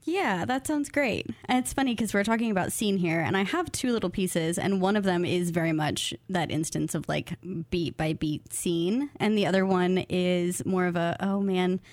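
A woman speaking as a guest writer in a casual interview, thoughtful and self-deprecating, quick to laugh. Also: on the low side, dry recording. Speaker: guest writer, graduate student of creative nonfiction.

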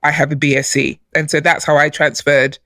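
A woman's voice: low-pitched, little pitch movement, brisk, fairly bright tone, dry recording, studio quality. A woman from who was born in Nigeria, a chief executive telling her story as a guest on a podcast, laughing often. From born in Nigeria, raised in London. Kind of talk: casual podcast interview.

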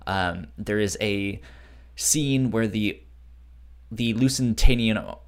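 A man's voice: lowish voice, slow pace, clean tone. Stuttering man in casual discussion. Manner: frequent stuttering, repeating sounds and syllables. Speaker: podcast co-host.

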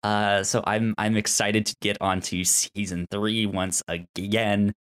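A man co-hosting a casual TV recap discussion, halting and stammering over repeated sounds and words. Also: on the low side, flat delivery, fairly bright tone, clean tone. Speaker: podcast co-host.

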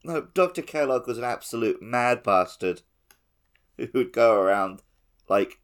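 Casting: podcast co-host with a very animated, up-and-down delivery for casual gaming chat. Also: mid-range voice, a little slow. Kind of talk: casual podcast chat about a video game.

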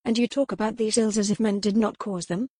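A woman's voice: medium pitch, fairly even intonation, a little fast, warm tone, no room sound, clean studio sound, lightly acted. A woman voicing a defiant young noble lady who pleads earnestly in formal old-fashioned speech. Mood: impassioned.

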